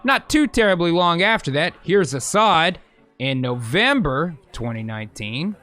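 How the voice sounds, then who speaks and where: An American man hosts a loose, profane livestream talk, rambling as he works through his thoughts. His voice sits high and sounds bright and forceful, with faint music playing underneath. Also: very animated, measured pace. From United States. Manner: rambling, profane, thinks aloud. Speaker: host. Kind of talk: casual livestream commentary.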